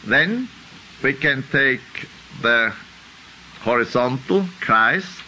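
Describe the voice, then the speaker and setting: A man's voice: medium pitch, expressive, extremely slow, bright, forceful tone, a little hiss or hum, low-quality recording. An older man with a Swiss accent giving an unscripted lecture, thinking aloud and tagging points with a questioning "huh?". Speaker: teacher.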